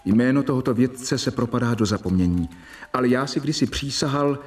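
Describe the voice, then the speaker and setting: Man playing anxious young doctor reading his letter out, radio play, bit far off mic, soft music under. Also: mid-range voice, natural voice. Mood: earnest, confiding. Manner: formal, urgent, confiding, with dramatic pauses. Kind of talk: radio drama letter monologue. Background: faint music.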